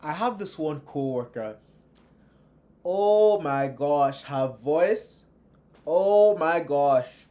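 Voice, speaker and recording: A man reacting to a video in casual, slangy, animated commentary. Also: on the high side, extremely slow. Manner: animated, slangy, jokey asides.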